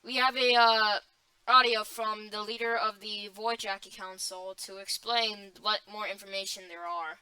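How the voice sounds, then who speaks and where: A woman's voice: mid-range voice, fairly even intonation, normal speed, bright, forceful tone, clean tone, no echo, close to an everyday voice, rough recording. A woman playing a flustered emergency alert announcer in an amateur scripted broadcast, stumbling and restarting phrases. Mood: flustered, reporting.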